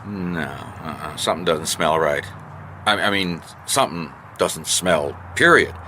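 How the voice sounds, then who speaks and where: An actor playing a weary, wisecracking private investigator in a radio detective drama, with wry, exasperated deadpan delivery. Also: male voice, a bass voice, unhurried, slight background noise, full character voice. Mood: dry. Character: weary, wisecracking male private investigator narrating his case.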